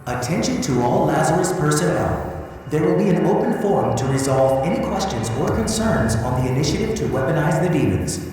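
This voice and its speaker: An actor playing a brisk, official facility announcer in a video game, heard over a loudspeaker. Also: male voice, lively intonation, far from the mic, faint music behind. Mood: official, matter-of-fact. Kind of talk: public address announcement. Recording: booming echoey PA in large hall.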